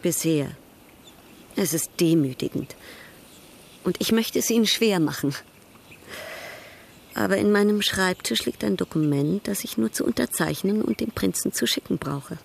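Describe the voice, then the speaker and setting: An actress in a German radio drama playing a proud, worldly European baroness, poised, arch and coolly ironic. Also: female voice, mid-range voice, lively intonation, moderate pace, creaky, long pauses, slight background noise. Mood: proud, frank.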